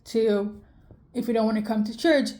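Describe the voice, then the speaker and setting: Mellow-voiced woman rambling through a reading. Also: fairly high pitch, moderate pace. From Sweden. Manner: rambling, many fillers and self-corrections. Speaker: tarot reader. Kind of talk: casual spiritual reading.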